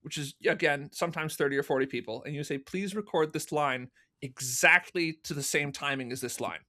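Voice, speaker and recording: A man's voice: on the high side, brisk, pushed and bright. A man speaking as a podcast guest, explaining his production work in a casual, conversational way. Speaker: interview guest, fan audio drama producer.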